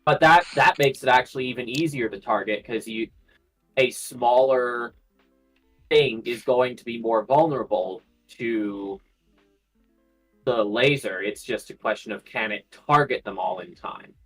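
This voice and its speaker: A man talks through a speculative argument on a livestream with a medium-pitched voice. He restarts and repeats phrases as he thinks aloud, and music plays behind him. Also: a little slow, fairly bright tone, slightly rough, rough recording. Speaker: livestream panelist. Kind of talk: casual speculative discussion.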